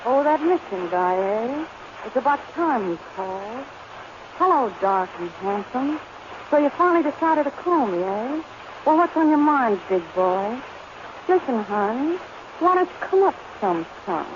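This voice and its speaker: Sultry, tough American saloon dame, flirtatious drawl, radio burlesque, muffled vintage sound. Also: female voice, on the high side, sing-song, a little slow. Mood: flirtatious, sly. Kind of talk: 1930s radio comedy burlesque acting. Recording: noisy, dull old radio recording.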